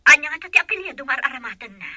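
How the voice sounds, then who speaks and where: A woman's voice: high voice, expressive, rapid-fire, crowd noise. A woman with a Filipino accent acting a caring, determined mother in an audio drama.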